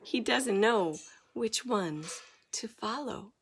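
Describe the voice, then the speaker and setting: High, animated woman reading a storybook. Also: a little hiss or hum. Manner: dramatic, deliberate. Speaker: storybook reader. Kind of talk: expressive children's story narration.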